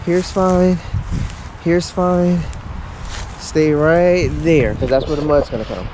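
A man vlogging while fishing at a marshy pond, talking excitedly to his viewers and his child. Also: on the high side, takes it slowly. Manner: excitable, talks to viewers as "guys". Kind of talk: casual outdoor vlog narration. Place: outdoors by a marshy pond in woods. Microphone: body-worn action camera. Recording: outdoor camera with clear background noise.

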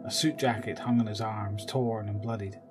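Male narrator for an audio drama, low voice, music clearly behind him. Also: steady tone, moderate pace.

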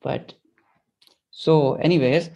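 A man's voice: on the high side, steady tone, slow, vocal fry, mellow. A young man hosting a webinar over a video call with a headset, hesitant and full of fillers. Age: young adult. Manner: hesitant, filler-heavy, laughs often. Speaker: webinar host. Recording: dull lo-fi call audio.

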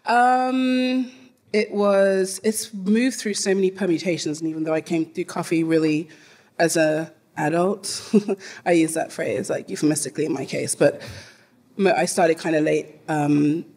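A woman's voice: sits low, steady tone, measured pace, darkish tone. A middle-aged woman from London with a London accent, a panel guest chatting on a theater stage into a handheld mic, laughing often. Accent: London English. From London, England. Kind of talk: live panel conversation. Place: theater stage in front of a live audience.

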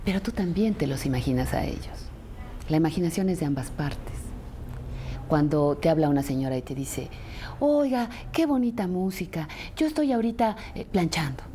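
A middle-aged woman with a Mexican accent speaking reflectively in an interview, deliberate and expressive, pausing for emphasis. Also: lowish voice, slight background noise. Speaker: radio presenter. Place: furnished indoor sitting room. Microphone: lapel mic.

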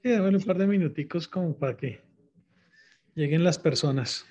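Un hombre de mediana edad con acento colombiano da la bienvenida, uno por uno, a los participantes de una videollamada. Tiene una voz algo aguda pero oscura y cálida, y habla en tono relajado y conversador. El audio del auricular suena apagado, con poco brillo. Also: male voice, on the high side, moderate pace, a little mellow. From born in Mexico, raised in Colombia. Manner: chatty, greets each participant by name. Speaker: host of an online talk. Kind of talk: relaxed video-call welcome. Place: home room with closed blinds. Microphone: headset on a video call.

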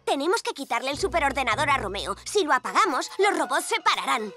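A determined little hero girl, high n lively, cartoon. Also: quick pace, faint music behind, full character voice. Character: brisk, determined young superhero girl. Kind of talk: children's cartoon dub.

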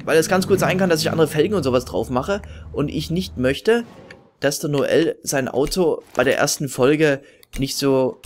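A man with a regional German dialect doing casual, self-mocking let's-play commentary while racing in a game. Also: on the high side. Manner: chatty, self-mocking, rambling. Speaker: gaming video host. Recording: loud game music and engine noise behind.

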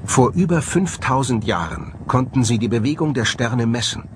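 A man's voice: medium pitch, lively intonation, normal speed, noisy background. A man delivering scripted documentary voice-over narration. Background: background music.

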